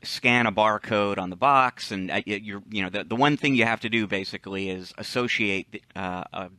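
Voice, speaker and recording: Low, bright-toned male podcast co-host. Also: brisk, no room sound. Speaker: podcast co-host, tech industry commentator. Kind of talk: casual explanatory podcast commentary.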